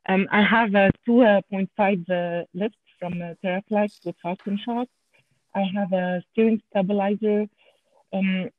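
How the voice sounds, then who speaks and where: A woman with a French Canadian accent, a podcast guest chatting in a halting way with many restarts. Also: medium pitch. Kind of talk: casual podcast chat. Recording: tinny phone-line audio.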